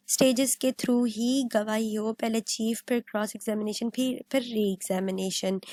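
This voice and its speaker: A woman speaks in a fairly high voice, in the style of a legal lecture. She explains point by point, mixing in English terms and restating them in everyday words. The recording is dry, with very little room sound. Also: darkish tone, dry recording. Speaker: law lecturer.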